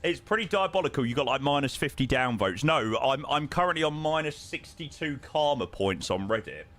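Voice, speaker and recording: Lively chatty London man. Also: medium pitch, a little fast. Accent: London English. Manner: chatty, joking, thanking viewers. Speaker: flight sim streamer. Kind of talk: casual stream chat with radio calls.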